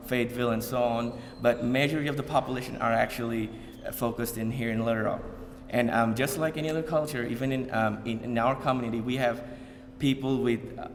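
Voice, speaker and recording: A man from Bangladesh giving an informal talk to an audience, pausing often with fillers and repeated starts. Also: medium pitch, slight background noise. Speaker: community speaker. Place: event hall. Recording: long hall reverb.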